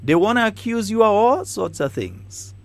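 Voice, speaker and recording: A man's voice: high-pitched, sing-song, normal speed. A man with a Trinidadian and Grenadian accent, hosting a talk radio show with emphatic, repeated, combative points. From Trinidad and Tobago.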